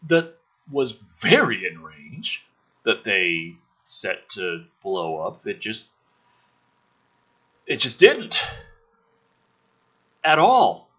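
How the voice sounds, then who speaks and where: Male script reader and commentator, bright, forceful and very animated, sardonic edge, slightly husky. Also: a little high. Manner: sardonic, emphatic, profane. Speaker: host reading a film script aloud with his own commentary. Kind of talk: dramatic script reading with sarcastic asides.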